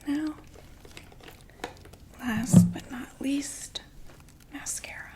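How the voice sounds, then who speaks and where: A young woman voicing a chatty undead vampire makeup saleswoman in an ASMR roleplay, talking in soft whispered sales patter with fillers. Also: high voice, very slow. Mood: soothing.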